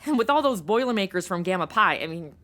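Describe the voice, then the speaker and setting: Comedy audio-drama party girl female actor: young, exasperated, breezy, bright edge, very animated. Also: mid-range voice, quick pace, close to an everyday voice. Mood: flustered. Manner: exasperated, breezy modern slang. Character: flighty young female temp secretary, indignant party girl.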